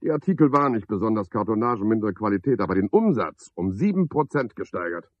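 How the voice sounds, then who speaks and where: A self-important club chairman in a seventies radio drama. He is a deep-voiced, gravelly man who cajoles and preens. The old recording is dry and somewhat dull. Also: very animated, quick pace, dry recording. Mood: defensive, boastful. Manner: pompous, cajoling, one-sided conversation. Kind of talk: 1970s German radio play acting. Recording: dull, band-limited 1970s radio recording.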